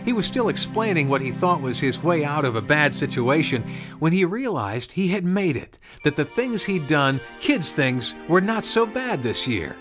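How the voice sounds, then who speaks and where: A man's voice: on the high side, big pitch swings, normal speed. A man reading a scripted children's storybook narration. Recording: music behind the voice. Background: background music.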